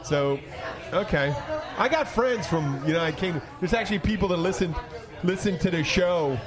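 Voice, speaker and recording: A man's voice: high-pitched, animated, moderate pace, crowd noise. A man hosting a podcast in a casual, joking chat style. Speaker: podcast host. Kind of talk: casual comic bar chat.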